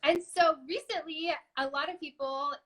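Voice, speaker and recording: A woman's voice: high voice, fairly even intonation, normal speed, a bit bright, low-quality recording. A woman hosting an online interview show, upbeat and encouraging in manner. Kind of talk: live online interview, scripted sponsor read then casual chat.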